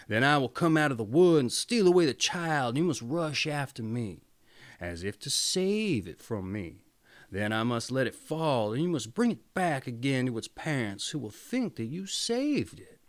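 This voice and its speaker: A man voicing a friendly but scheming wolf in a storybook reading, with a folksy, coaxing manner. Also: medium pitch. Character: friendly, scheming male wolf, loyal old-fella pal.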